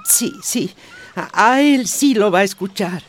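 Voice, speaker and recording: A woman's voice: a little high, huge pitch swings, measured pace. A woman playing a worried, fretful older mother in a radio drama.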